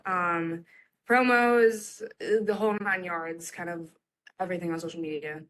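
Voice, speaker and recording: Bright-voiced woman chatting as interview guest. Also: fairly low pitch, steady tone, measured pace, poor audio. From United States and Egypt. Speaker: social media content creator, interview guest. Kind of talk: casual interview chat.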